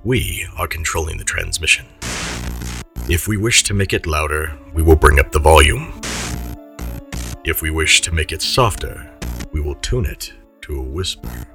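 A man voicing an ominous broadcast announcer who claims control of the signal, speaking in a measured, commanding way over a podcast intro. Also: rumbling low, animated, gravelly, heavily acted. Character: ominous male broadcast voice that seizes the airwaves. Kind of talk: parody show intro. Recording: music bed under voice.

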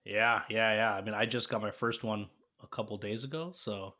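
A young man hosting a casual sports podcast, easygoing and quick to laugh, stumbling over his words now and then. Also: on the low side, steady tone, bright, forceful tone. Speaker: podcast host.

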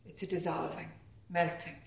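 A woman leading a guided meditation calmly and gently, in short phrases with long pauses between them. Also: lowish voice, creaky. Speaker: meditation teacher. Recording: echoey room.

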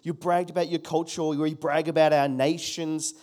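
A man's voice: a little high, little pitch movement, moderate pace, darkish tone. Emphatic man preaching. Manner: emphatic, repeats key phrases, calls on the congregation. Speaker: pastor. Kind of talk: sermon.

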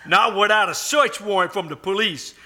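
Man playing a very high, bright, defensive building caretaker. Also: normal speed. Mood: exasperated. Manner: colloquial, protesting, evasive. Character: defensive, reluctant working-class apartment building caretaker. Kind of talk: old-time radio suspense acting before a live audience.

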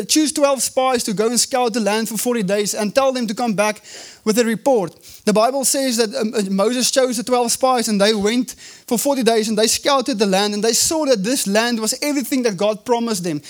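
A man preaching a sermon in English with a South African accent, his first language being Afrikaans, stressing key phrases slowly and often restarting mid-sentence. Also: very high-pitched. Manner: preaching with emphatic drawn-out phrases and frequent restarts. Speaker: pastor.

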